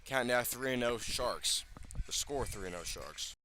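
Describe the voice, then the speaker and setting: Bright-voiced male baseball play-by-play announcer. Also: mid-range voice, lively intonation, unhurried, slight background noise. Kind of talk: live baseball play-by-play commentary. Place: outdoors at a ballpark, field level by the first base line.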